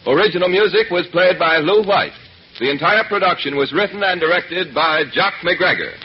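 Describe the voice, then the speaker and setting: Higher-pitched, slightly husky 1940s radio announcer man. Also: moderate pace. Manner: formal, polished delivery. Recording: muffled 1940s radio recording.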